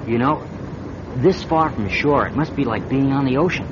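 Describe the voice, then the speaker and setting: An actor playing a naive, awed technician in a radio drama, wide-eyed and hesitant. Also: male voice, medium pitch, expressive, moderate pace, close to an everyday voice. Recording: dull lo-fi radio recording, noisy background.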